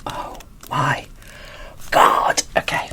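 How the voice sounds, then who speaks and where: A woman whispering casual, gushing ASMR commentary as she presents an unboxing, in a breathy, informal way. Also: extremely slow, sharp tone.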